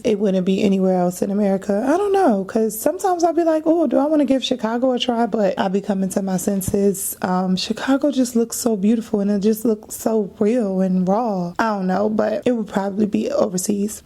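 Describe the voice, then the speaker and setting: A woman's voice: medium pitch, dark tone. A woman in her thirties from Trinidad, chatting casually to camera in a get-to-know-me Q&A, laughing often and dropping slangy asides. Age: 30s. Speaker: vlogger.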